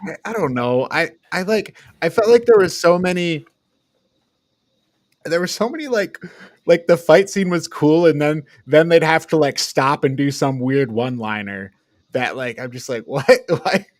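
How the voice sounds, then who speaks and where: A man's voice: fairly high pitch, normal speed, dry recording, clean studio sound. A man co-hosting a podcast, giving a casual, opinionated film review and often searching for his words. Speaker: podcast co-host.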